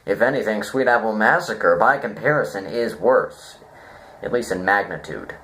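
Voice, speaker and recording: A man giving an informal, rambling critique to viewers, deadpan and self-deprecating, halting as he thinks aloud. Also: fairly low pitch, a little hiss or hum, low-quality recording. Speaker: video reviewer.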